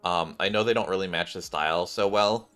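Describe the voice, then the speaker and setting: A man doing casual game-stream commentary in an animated way, thinking aloud and sometimes reading on-screen text. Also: low-pitched, brisk, clear, resonant tone. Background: game music.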